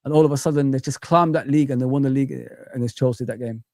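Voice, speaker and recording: A man from Africa chatting casually as a football pundit on a fan livestream, reminiscing in an animated way and often adding "you know what I mean". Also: medium pitch, very fast, no echo, clean studio sound.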